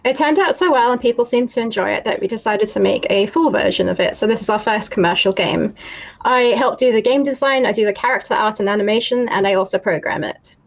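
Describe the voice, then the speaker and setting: A woman's voice: medium pitch, fast talker, vocal fry, low-quality recording. A young woman who grew up in Namibia presents the game she builds. She talks in an upbeat, explanatory way, walking through design, art and story. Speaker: game developer. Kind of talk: presenting her indie game.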